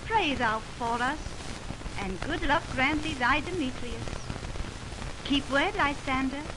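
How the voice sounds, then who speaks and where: A woman's voice: sits high, animated, takes it slowly. An actress playing an earnest, devoted young Athenian woman in love, declaiming verse in a 1920s radio Shakespeare production. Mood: tender. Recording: noisy 1929 radio aircheck on film.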